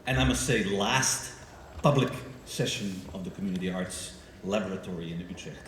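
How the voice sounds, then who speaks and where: A man's voice: deep voice, moderate pace, fairly bright tone, slight background noise. A presenter, a man, giving an emphatic formal welcome address to an audience. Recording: reverberant hall from far off, faint music.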